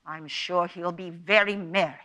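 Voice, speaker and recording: A woman playing a warm but easily indignant Victorian wife and mother in a radio play, with emphatic, tart delivery. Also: fairly low pitch, steady tone, normal speed. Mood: grudging, sarcastic.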